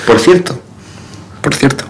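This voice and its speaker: A man in casual podcast banter, mock-grumpy and teasing, with sudden animated outbursts. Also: measured pace.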